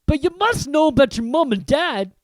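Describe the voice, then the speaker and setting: A reader voicing a huge, hot-tempered male giant from a storybook, who talks in a loud, gruff rural dialect. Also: high-pitched, big pitch swings, moderate pace, no room sound, clean studio sound, pitched above the performer's own voice. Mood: outraged. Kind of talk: audiobook character reading.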